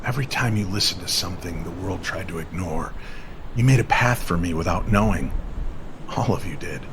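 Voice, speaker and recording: A man voicing a weary, gentle angel at the end of his strength, speaking solemnly and softly in an audio story. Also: low voice, fairly quick, noisy background, natural voice. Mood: solemn, fading.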